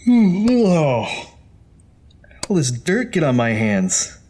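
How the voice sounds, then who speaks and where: An actor playing an anxious, overworked architect in an audio horror drama, muttering to himself in short halting phrases. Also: male voice, a little high, sing-song, darkish tone. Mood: weary, self-reproaching.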